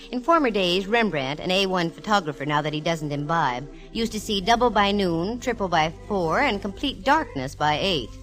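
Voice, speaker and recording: A woman's voice: medium pitch, a bit bright, natural voice, low-quality recording. An actress playing a witty, self-assured female private detective in a 1940s radio drama, with wry, teasing banter. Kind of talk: 1940s radio detective acting with first-person narration. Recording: vintage 1940s radio, music behind.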